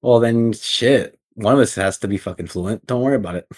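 A young man with a somewhat low voice, trading jokes in a relaxed podcast chat. He talks brashly, in heavy slang and with frequent swearing. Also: brisk, breathy edge. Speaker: podcast co-host. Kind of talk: casual chat.

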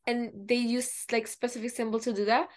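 A young woman from Pakistan whose first language is Urdu co-hosts a casual radio show. Her voice sits fairly high, and she chats informally, sprinkling in plenty of "like" as she goes. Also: flat delivery, moderate pace. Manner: chatty, informal, frequent "like".

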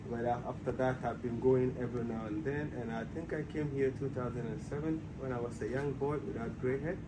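A man in his forties from Ghana, guest speaker at an evening talk, speaking softly and haltingly with many pauses and fillers. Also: medium pitch, very noisy. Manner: soft-spoken, hesitant with many fillers. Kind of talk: casual lecture talk.

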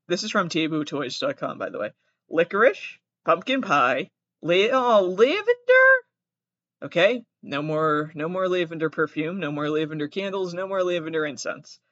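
A man's voice: a little high, fairly bright tone, no echo. A man with a Massachusetts accent hosting a podcast alone, reading trivia aloud with rambling, self-interrupting jokey asides. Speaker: podcast host. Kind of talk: solo comedic trivia reading. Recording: dull, dry close-mic sound.

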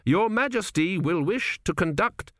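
Casting: male reader as flustered storybook court priest, raised pitch, ritual-bound, deferential, formal to a fault. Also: lively intonation, unhurried, fairly bright tone, no echo, clean studio sound. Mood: flustered, moaning.

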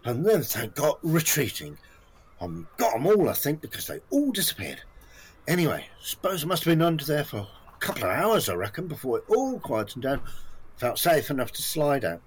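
An actor playing a clueless, self-pitying British job candidate in a comic audio play, rambling and melodramatic. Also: male voice, on the high side, big pitch swings, normal speed, husky, full character voice, low-quality recording. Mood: gleeful.

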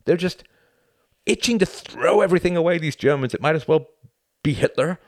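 A man's voice: fairly low pitch, sing-song, moderate pace, no echo, clean studio sound, voice pushed up. A man voicing an irritable, weary, argumentative Englishman in an audiobook, with a curt manner. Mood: bitter sarcasm. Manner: curt, brusque.

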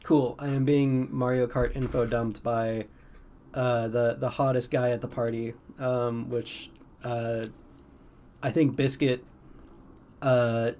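A man's voice: mid-range voice, level tone, slow pace, mellow, a little hiss or hum. A man playing a tabletop roleplaying game, narrating casually and rambling as he improvises, slipping into bits of dialogue.

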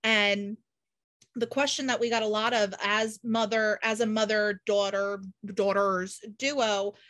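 A woman's voice: mid-range voice, level tone, measured pace, fairly bright tone. A woman of about thirty hosting a casual podcast chat, emphatic and peppering her talk with "like". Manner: emphatic, frequent "like" filler. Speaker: podcast host.

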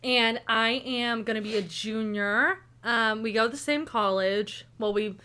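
Early 20s oklahoma girl cohosting a chat podcast, kinda high bright voice, upbeat and rambly. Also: unhurried, smooth. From Tulsa, Oklahoma. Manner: chatty, upbeat, lots of fillers. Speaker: podcast co-host. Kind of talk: casual chat.

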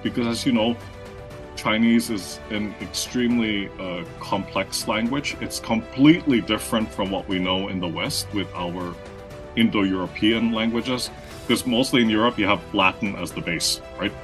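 A man's voice: fairly low pitch, normal speed, over music. A man from Austria, raised in the Philippines, giving conversational interview answers, explaining at length and often checking in with "right?". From Austria, grew up in the Philippines. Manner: explanatory, frequent "right?" tags and restarts. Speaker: guest, marketing consultant.

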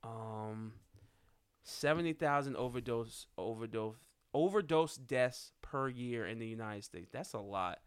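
A man's voice: medium pitch, measured pace, smooth. A man co-hosting a casual podcast, reading out news headlines and reacting with blunt swearing, frequent laughs and restarts. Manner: swears freely, laughs often, trails off and restarts. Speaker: podcast co-host.

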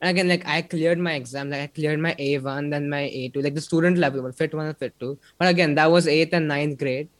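A man's voice: on the high side, steady tone, quick pace, few pauses, dry recording. A young man from India with an Indian English accent, chatting casually as an interview guest, rambling with many fillers. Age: young adult. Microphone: video call.

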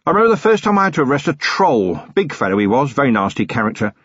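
A man voicing a seasoned, gruff police commander in an audiobook, dry, matter-of-fact and fatherly. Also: medium pitch, sing-song, quick pace, a bit bright, dry recording, muffled recording, voice pushed up. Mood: reassuring.

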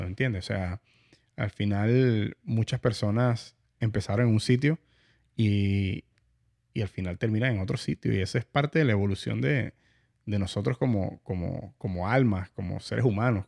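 Creaky-voiced Venezuelan man, thinking aloud. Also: fairly low pitch, a little mellow. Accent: Venezuelan Spanish. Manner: halting, repeats words as he thinks aloud. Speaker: interview host. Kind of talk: casual reflective conversation.

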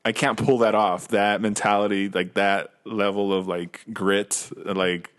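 A man's voice: fairly low pitch, little pitch movement, unhurried, a bit bright. An American man co-hosting a podcast in casual chat, rambling and slangy, often repeating himself as he gets to his point. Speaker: podcast co-host.

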